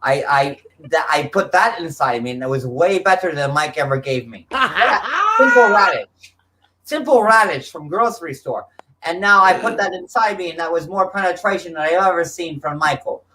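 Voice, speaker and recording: A performer voicing a crude, brazen immigrant woman in improvised comedy, dropped articles and a halting, clenched delivery. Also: mid-range voice, expressive, sharp tone, a little hiss or hum, low-quality recording. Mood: brazen, bragging. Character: crude immigrant woman with broken-English foreign accent, brazen. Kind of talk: improvised comic impersonation.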